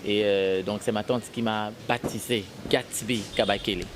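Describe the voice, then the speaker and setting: A man's voice: lowish voice, fairly even intonation, moderate pace, noisy background. A Congolese man with a Congolese French accent, answering interview questions casually and switching between French and Lingala. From Congo (DRC), Kasai. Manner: mixes French and Lingala, rambling with restarts. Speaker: interviewed actor.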